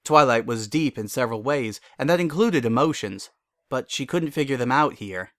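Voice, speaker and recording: A man's voice: medium pitch, lively intonation, normal speed, fairly bright tone, no echo, studio quality. A man reading a scripted story as its narrator.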